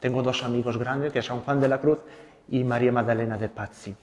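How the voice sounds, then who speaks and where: A young man from Italy, a Carmelite friar with an Italian accent, giving a gentle spiritual talk and leading prayers. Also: mid-range voice, fairly even intonation, big-room sound. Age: young adult. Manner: gentle, unhurried, adds tag questions.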